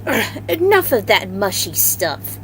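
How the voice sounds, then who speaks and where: A woman's voice: mid-range voice, big pitch swings, takes it slowly, fairly bright tone, a little hiss or hum, poor audio. A woman voicing a sarcastic, brash female demon warrior with a venomous, taunting manner in a fantasy story. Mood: impatient. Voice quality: alto.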